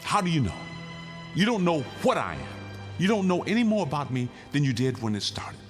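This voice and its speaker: Urgent condemned prisoner, wildly animated. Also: male voice, on the high side, brisk, over music. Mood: agitated, arguing. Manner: insistent, pleading, arguing in rhetorical questions. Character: condemned male prisoner insisting the world is his nightmare, weary, urgent. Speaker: actor. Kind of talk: radio drama acting.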